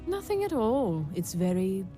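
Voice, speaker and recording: An actress playing a haughty, snobbish clothes seller in a fantasy game, with a condescending manner. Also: female voice, mid-range voice, sing-song, a little slow, over music.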